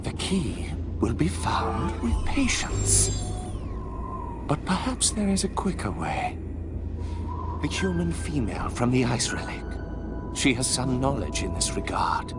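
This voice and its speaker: A haughty, scheming alien high priest from a game cutscene. The delivery is slow and grandiose, swinging widely in pitch with imperious zeal. Loud music plays beneath. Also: male voice, sing-song. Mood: scheming, commanding. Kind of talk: dramatic video game cutscene acting.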